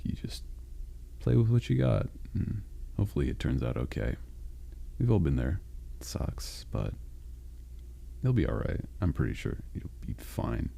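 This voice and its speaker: A man voicing a caring male sleep paralysis demon, speaking softly, with halting reassurance, in a comforting roleplay monologue. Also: exaggerated voice. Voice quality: deep gravelly growl. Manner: soft, reassuring, halting and unhurried.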